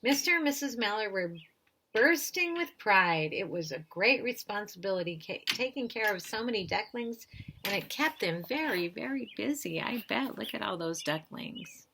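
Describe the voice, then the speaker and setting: A woman reading a children's picture book aloud in an expressive way, with little asides to her listeners. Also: medium pitch, normal speed. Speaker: storybook reader. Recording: noisy recording with chirping birdsong.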